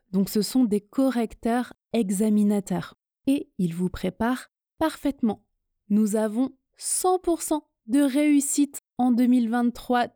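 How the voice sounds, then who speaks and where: A woman from France hosting a podcast lesson, speaking clearly and encouragingly to her listeners. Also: on the high side, mellow, no room sound, studio quality. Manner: slow, clear and encouraging, addressing listeners directly. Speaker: French teacher and podcast host.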